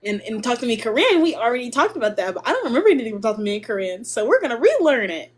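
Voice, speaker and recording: A young woman casually explaining Korean grammar on a live stream and slowly sounding out Korean phrases. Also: fairly high pitch, very animated.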